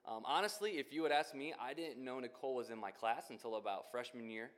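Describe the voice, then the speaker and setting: A man's voice: medium pitch, quick pace, fairly bright tone. A man in his twenties with an American accent giving an informal testimony to a congregation, conversational and full of casual asides. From Northwest Indiana, United States. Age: 20s. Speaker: youth minister candidate. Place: church, speaking to congregation.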